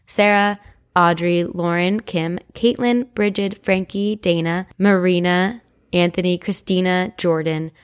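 A woman's voice: on the low side, steady tone, measured pace, clear, resonant tone. A woman co-hosting a podcast in a casual, chatty style. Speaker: podcast co-host. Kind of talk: casual chat.

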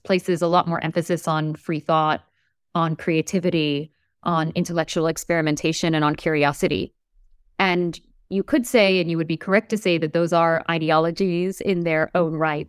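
A woman professor in her forties, raised in the United States, speaking in an articulate, measured way with emphasis in an interview. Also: fairly low pitch, clear, resonant tone, no echo, clean studio sound. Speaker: English professor. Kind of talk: reflective interview talk.

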